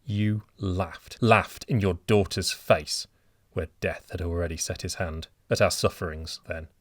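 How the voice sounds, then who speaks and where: A man voicing a grim, vengeful gentleman who speaks slowly and coldly. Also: fairly low pitch, expressive, dry recording, clean studio sound, lightly acted. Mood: cold vengeance. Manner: slow, cold, deliberate. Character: cold vengeful middle-aged man, grim and unmoved.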